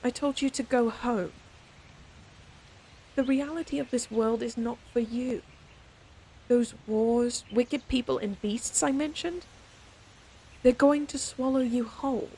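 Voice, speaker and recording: A woman's voice: a little high, fairly even intonation, takes it slowly, long pauses, dark tone, slightly rough, exaggerated voice, poor audio. A woman playing a proud, stern lady knight in an audio roleplay, scolding her companion in an exasperated but secretly fond way. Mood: stern, protective. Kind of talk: audio roleplay acting, speaking to the listener.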